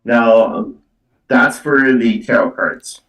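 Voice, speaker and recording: Male psychic reader, halting and repetitive delivery, mid-pitched, distant in a reverberant room. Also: fairly bright tone. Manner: halting, repetitive, filler-heavy phrasing. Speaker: psychic medium giving card readings. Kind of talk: live spiritual reading for a caller. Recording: roomy, echoey, away from the mic.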